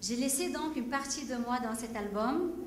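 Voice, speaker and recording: Lady from egypt, arabic native, fairly high voice, picked up from far off in a big echoey hall. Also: lively intonation, normal speed, a little hiss or hum. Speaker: singer-songwriter hosting a benefit concert. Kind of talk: stage address and poem recital. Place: large concert hall.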